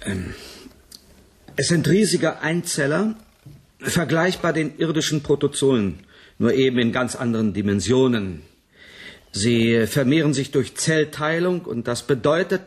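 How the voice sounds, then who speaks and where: A serious scientist in a sci-fi radio play, bit rough voice, matter of fact, hesitant. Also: male voice, medium pitch. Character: sober male colony scientist giving a grim report. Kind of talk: 1980s German radio play acting.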